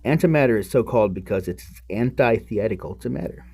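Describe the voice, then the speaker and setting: A man's voice: lowish voice, animated, a little fast, vocal fry, warm tone. A man hosting a podcast, reading a book aloud and breaking off into casual, musing commentary. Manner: musing, informal asides to the listener.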